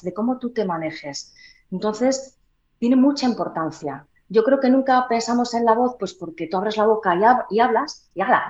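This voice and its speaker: A woman from Huelva, giving emphatic, conversational advice as an interview guest who trains voices. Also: mid-range voice. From Huelva, Andalusia, Spain. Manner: emphatic, didactic, checks in with listeners. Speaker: guest, voice trainer and speech expert. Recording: dull, roomy, echoey sound.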